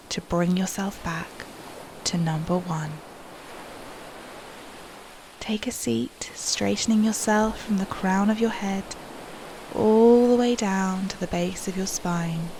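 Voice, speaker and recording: A woman softly leading a guided meditation in a soothing, unhurried manner, with breathy phrase endings and long pauses. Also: mid-range voice, noisy background.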